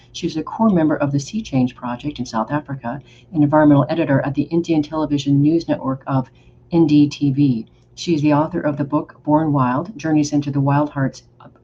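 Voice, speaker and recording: A woman's voice: deep voice, warm tone. A woman in her fifties from Oregon hosting an interview podcast, with enthusiastic, effusive questions. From Oregon, United States. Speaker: podcast host. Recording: slightly dull recording with faint background noise.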